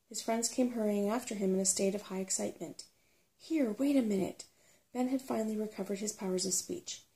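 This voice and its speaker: A soft-spoken woman reading a children's fantasy story aloud in an unhurried ASMR style. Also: mid-range voice, gravelly. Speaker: storybook reader.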